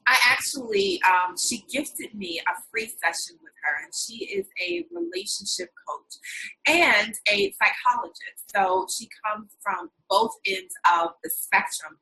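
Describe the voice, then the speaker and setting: A woman's voice: on the high side, very animated, a little slow, vocal fry, bright, forceful tone, rough recording. A woman hosting an interview, giving an earnest, enthusiastic introduction of her guest and drawing out words for emphasis. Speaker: interview host.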